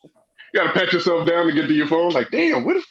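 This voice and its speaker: Husky, higher-voiced man bantering with lively swings. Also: quick pace, dull audio. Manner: chuckly, slangy, chimes in often. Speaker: talk show panelist. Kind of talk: casual banter.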